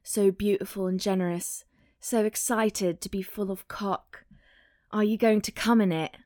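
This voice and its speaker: A woman's voice: medium pitch, fairly even intonation, measured pace, a little mellow, no echo, studio quality, lightly acted. A woman voicing a commanding, lustful male lover in dialogue from a romance audiobook. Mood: teasing, commanding.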